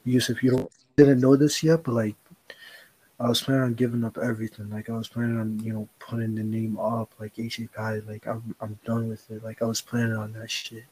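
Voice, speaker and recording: A man's voice: lowish voice, little pitch movement, brisk, mellow, breathy edge, poor audio. A young man co-hosting a podcast, talking earnestly in casual chat, rambling with slang and swearing. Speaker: podcast co-host.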